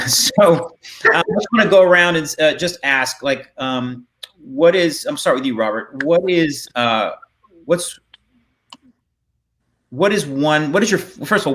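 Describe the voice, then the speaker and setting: A man casually hosting a panel chat, speaking in a halting, informal way. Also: mid-range voice, expressive, fairly bright tone, slight background noise. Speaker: host.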